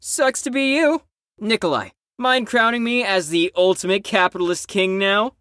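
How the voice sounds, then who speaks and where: A man's voice: sits high, normal speed, bright, forceful tone, no echo, studio quality. A man playing a brash, sarcastic, cocky and bitter teenager in an audio drama. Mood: cocky, gloating.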